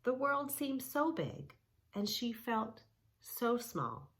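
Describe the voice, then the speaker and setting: Slow, soothing woman reading to kids. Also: on the high side, big pitch swings. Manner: gentle, soothing, instructive. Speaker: kids yoga teacher and reader. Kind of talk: reading a picture book aloud and guiding yoga poses.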